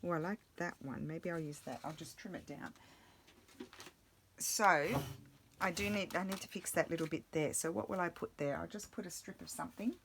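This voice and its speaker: A low-voiced woman talks her way through a craft project, rambling and cutting herself off as she thinks aloud. Her voice is slightly croaky from the morning. A faint hiss sits under the recording. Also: moderate pace. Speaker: craft vlogger. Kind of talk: casual crafting chat.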